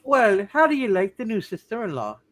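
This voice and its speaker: A man voicing prim, dry women of a small-town family in a hammed-up play reading, deliberate and arch. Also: high-pitched, big pitch swings, fairly bright tone, dry recording. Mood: gently prying.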